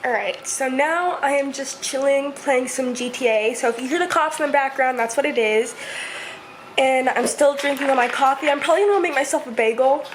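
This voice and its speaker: A woman in her twenties, chatting casually and rambling through a home vlog, her voice hoarse and stuffy. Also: high-pitched, brisk, slight background noise. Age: 20s. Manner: rambling, chatty, many fillers. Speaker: vlogger. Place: home, indoors. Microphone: camera set up nearby.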